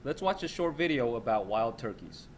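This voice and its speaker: A man's voice: medium pitch, very animated, moderate pace, a little hiss or hum. A man reading a children's nonfiction text aloud as a teacher.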